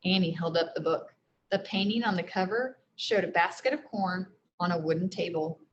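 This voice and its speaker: A woman's voice: on the low side, normal speed, highs cut off, a little hiss or hum. A woman teacher reading a storybook aloud to children, explaining things simply as she goes. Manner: explains simply, with frequent check-in questions.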